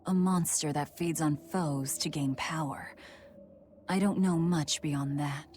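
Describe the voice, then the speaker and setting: An actress playing a cold, guarded young female demon fighter with a curt, deadpan manner in a video game. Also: deep voice, a little slow, a little hiss or hum. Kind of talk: English video game voice acting.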